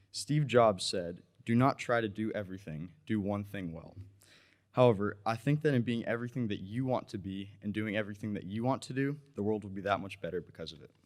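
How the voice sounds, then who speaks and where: Teen boy, student speaker, slightly low voice, encouraging. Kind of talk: prepared ceremony speech.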